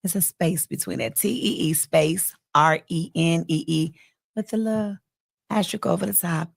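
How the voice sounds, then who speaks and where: An American woman chats informally as a guest on a talk show. Her voice sits a little low with a strong creaky, gravelly edge. The recording is close and dry. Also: normal speed, no room sound. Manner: self-described loud talker, informal. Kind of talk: casual chat.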